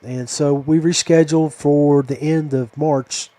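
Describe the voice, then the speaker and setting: A middle-aged or older man with a slightly higher-pitched voice and a relaxed, mellow tone. He hosts a casual podcast chat in a folksy, affectionate, rambling manner. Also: measured pace, no echo. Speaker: podcast host. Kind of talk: casual chat closing a fishing podcast interview.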